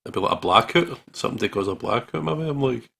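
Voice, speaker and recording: A man's voice: medium pitch, lively intonation, fast, creaky, bright, forceful tone, husky. A man co-hosting a let's play with casual, jokey, sweary commentary.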